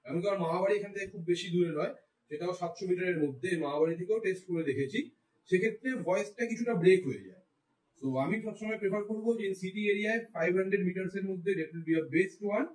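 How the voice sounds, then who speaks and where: A man with an Indian Bengali accent talks quickly through a casual product review. His voice is high for a man and he slips English phrases into his explanations. The recording is echoey, picked up from some distance. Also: a little mellow. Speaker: tech reviewer, vlogger. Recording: roomy echo, distant mic.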